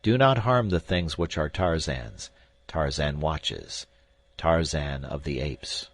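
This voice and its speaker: A man voicing an absent-minded elderly professor who speaks softly and slowly, muttering to himself. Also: a bass voice, lively intonation, dry recording, voice pushed down, heavily acted. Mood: mild, muttering. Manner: soft, slow, mumbling to himself.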